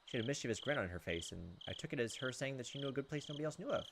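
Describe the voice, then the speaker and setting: A man's voice: medium pitch, lively intonation, racing, creaky, background noise. A man reading a visual novel aloud as a let's play host, with casual, crude joking asides.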